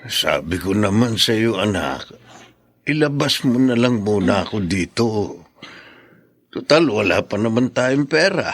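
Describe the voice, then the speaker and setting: An actor playing a gentle, grateful Filipino father, injured and no longer young, speaking tenderly and haltingly in a radio drama. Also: fairly low pitch, lively intonation, dry recording. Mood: tender concern.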